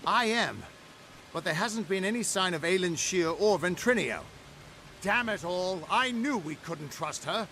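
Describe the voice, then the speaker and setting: An actor playing a battle-weary rebel leader in a fantasy game, earnest and commanding, with emphatic delivery. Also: male voice, high voice, animated, unhurried, pushed and bright, slight background noise, exaggerated voice. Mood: tense, frustrated. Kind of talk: dramatic video game dialogue. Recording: music under the dialogue.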